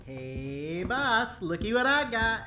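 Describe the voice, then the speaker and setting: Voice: mid-range voice, big pitch swings, slow pace, bright, forceful tone, slight background noise, full character voice. An actor playing an eager, easygoing lab engineer in an audio drama, talking in a chummy, folksy way. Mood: eager, cheerful. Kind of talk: scripted audio drama acting.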